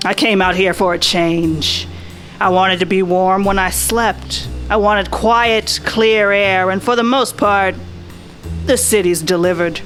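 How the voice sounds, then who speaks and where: A woman's voice: medium pitch, fairly even intonation, takes it slowly, fairly bright tone. A woman playing a world-weary, wry 1940s private detective, narrating in a folksy, slangy noir radio style. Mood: wry, reflective. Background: music.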